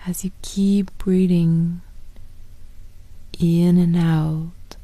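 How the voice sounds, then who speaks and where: Woman meditation guide, half-whispered delivery, very slow and flat, dry close sound. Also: on the low side, no room sound, low-quality recording. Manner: soft, slow, partly whispered.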